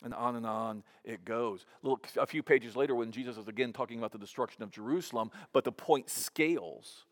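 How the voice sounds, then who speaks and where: A man's voice: medium pitch, fairly quick, few pauses. A man in his fifties preaching a sermon in a conversational way, often restarting with repeated words. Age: 50s. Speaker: pastor.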